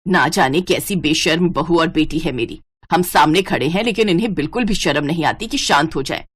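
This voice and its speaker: A woman's voice: deep voice, brisk, breathy edge, no room sound, voice pushed down. A woman voicing a stern, exasperated elderly mother-in-law in a story, scolding and commanding.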